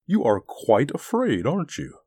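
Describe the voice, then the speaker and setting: A man voicing a huge, gentle alien beast in a story, speaking in an articulate, formal, pensive way. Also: a little high, huge pitch swings, unhurried, slightly rough, no room sound, studio quality, heavily acted. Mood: earnest, pleading. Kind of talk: storybook character acting.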